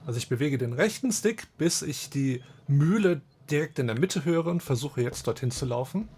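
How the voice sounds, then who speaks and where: A man's voice: fairly high pitch, expressive, normal speed, a little mellow, slight background noise. A man who is a native German speaker, presenting a game with casual play commentary.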